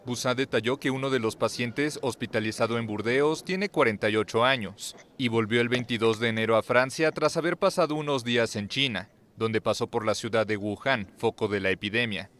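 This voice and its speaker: Man reading news, steady formal delivery. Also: medium pitch, a little fast, hardly any pauses. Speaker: news reader. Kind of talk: archive news report.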